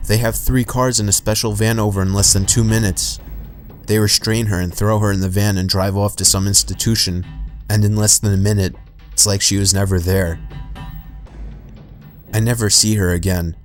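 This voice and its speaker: A man narrating true horror stories in a calm, steady, scripted way. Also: lowish voice.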